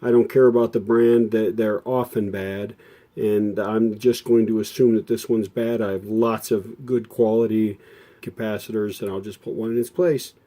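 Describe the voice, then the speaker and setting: Man, American accent, dark mellow tone, lowish pitch, casual repair narration, folksy, explanatory. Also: level tone, moderate pace. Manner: plain-spoken, folksy, explanatory. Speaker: host, electronics restorer.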